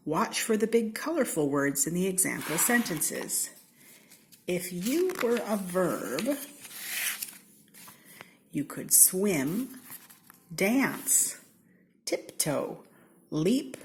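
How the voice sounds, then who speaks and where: An American woman from Seattle reading a children's storybook aloud in an expressive, theatrical way, with deliberate, playful emphasis. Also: medium pitch, a little hiss or hum. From Seattle, United States. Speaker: storybook reader.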